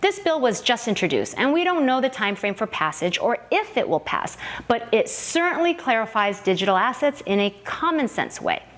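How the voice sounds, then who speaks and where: A woman's voice: fairly high pitch, big pitch swings, normal speed, hardly any pauses. A woman reading scripted explanatory narration.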